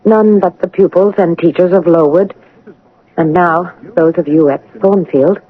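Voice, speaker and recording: An actress playing a composed, candid eighteen-year-old orphan governess in a radio drama, speaking formally and earnestly. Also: female voice, fairly low pitch, measured pace, narrow, tinny audio, lightly acted. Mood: composed, guarded. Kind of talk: radio drama acting and first-person narration. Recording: band-limited vintage radio drama broadcast.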